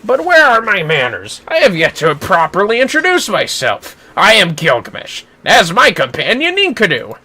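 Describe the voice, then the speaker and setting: A man's voice: high-pitched, huge pitch swings, normal speed, hardly any pauses, sharp tone, breathy edge, exaggerated voice. A man voicing a dramatic, boastful wandering warrior in a video game, speaking in a florid, theatrical way. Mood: courteous, wistful.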